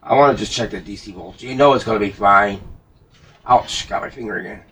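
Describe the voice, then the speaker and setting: A man's voice: fairly low pitch, a little fast, fairly bright tone, breathy edge. A man in a hobby workshop talking casually to himself as he works, folksy and given to mild swearing, like a repair hobbyist at the bench. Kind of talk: casual muttered talk while working at the bench. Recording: noisy workshop, clicks and handling sounds.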